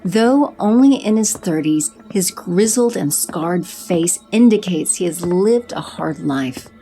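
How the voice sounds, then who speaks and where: A woman reads scripted audio drama narration in an even, descriptive manner. Her voice is low and dark in tone. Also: slow pace, faint music behind.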